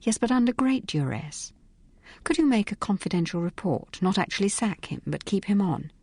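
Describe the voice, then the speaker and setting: A woman voicing a poised young woman detective who speaks in a cool, crisp, commanding way. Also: mid-range voice, big pitch swings, normal speed, a little mellow, dry recording. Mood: calm.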